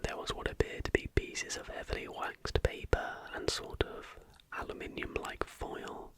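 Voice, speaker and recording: A man reading a factual script as ASMR in a soft, breathy, deliberate whisper, close to the microphone. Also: dry recording. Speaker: ASMR host. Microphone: close recording microphone.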